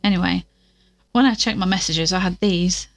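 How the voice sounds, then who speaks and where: A woman's voice: lowish voice, normal speed, no room sound, studio quality, lightly acted. A woman playing an anxious, weary investigator who speaks casually and hesitantly into an audio diary in an audio drama. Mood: weary, uneasy.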